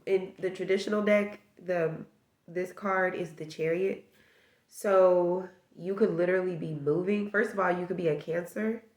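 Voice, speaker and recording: Woman, stuffed-up nose, lowish voice, rambling tarot reader, echoey room. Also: steady tone, moderate pace, a little mellow. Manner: musing, rambling, speaks in possibilities. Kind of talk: casual tarot reading to camera.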